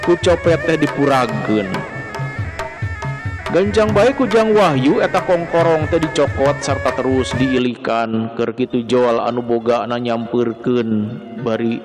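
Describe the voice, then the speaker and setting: A man with a Sundanese accent narrating a folk tale dramatically as a storyteller. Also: mid-range voice. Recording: long reverb, music behind. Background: music.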